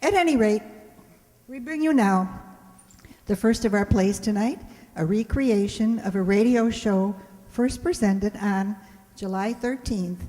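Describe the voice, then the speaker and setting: Female presenter, prepared introduction, soft dark tone, reverberant hall. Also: medium pitch, unhurried. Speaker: presenter introducing a stage performance.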